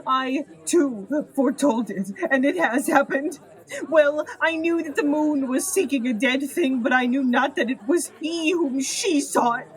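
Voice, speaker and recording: High-voiced, plaintive young mourner. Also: female voice, unhurried, low-quality recording. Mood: grieving. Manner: plaintive, lamenting. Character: grieving young servant mourning a friend, earnest. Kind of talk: theatrical audio drama acting.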